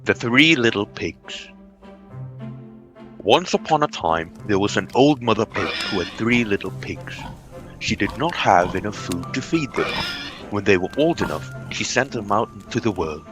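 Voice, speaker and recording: A man's voice: lowish voice, sing-song, normal speed, rough recording. A man narrating a storybook tale from a script. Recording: music under the voice.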